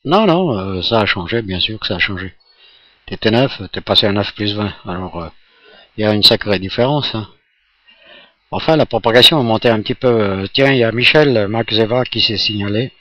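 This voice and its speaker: A man's voice: on the low side, expressive, brisk, sharp tone, highs cut off. A jovial man chatting casually as an amateur radio operator, talking in a rambling, hesitant way.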